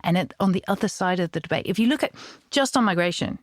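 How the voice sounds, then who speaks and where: A woman's voice: on the low side, lively intonation, quick pace, no echo. A woman speaks as a campaigning guest in an informal political discussion. She is impassioned and emphatic, repeating phrases to drive them home, in a dry recording with little room sound.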